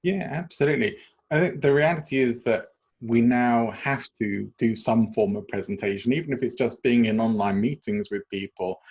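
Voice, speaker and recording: An English man answers interview questions in an even, measured way, with a few hesitant fillers. His voice is a little husky. He comes through dull, lo-fi call audio. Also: medium pitch. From England. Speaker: guest, presentation coach.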